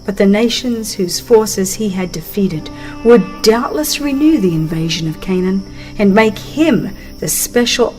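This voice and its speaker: A woman reads scripted religious narration at a slow pace. Her delivery is solemn and measured, like a dramatized audiobook, with music clearly playing behind her. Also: mid-range voice, darkish tone, rough recording. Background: background music.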